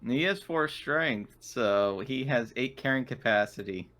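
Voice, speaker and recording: A man's voice: mid-range voice, lively intonation, measured pace, fairly bright tone. A man chatting casually as a player at a tabletop roleplaying game, talking through his moves.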